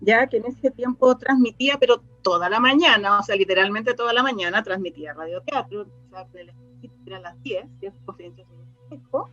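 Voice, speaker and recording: A woman's voice: medium pitch, brisk, a bit bright, lo-fi sound, soft background music. A woman with a Chilean accent chatting casually as an interview guest, searching for her words as she goes. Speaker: interview guest, radio drama writer and director. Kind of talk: casual reminiscing conversation.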